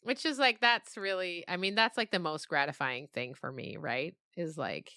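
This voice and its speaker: A woman's voice: fairly low pitch, moderate pace, bright, forceful tone, clean tone, no echo, studio quality. A woman speaking as an interview guest, a storyteller and podcast creator talking reflectively and expressively in conversation.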